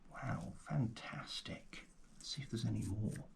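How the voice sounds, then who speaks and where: A man speaking softly and gently in an ASMR roleplay as a guide, often slipping into a breathy whisper, murmuring without hurry. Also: mid-range voice, unhurried, background noise. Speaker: host playing a paleontologist guide. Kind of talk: ASMR roleplay, soft narration of a fossil dig.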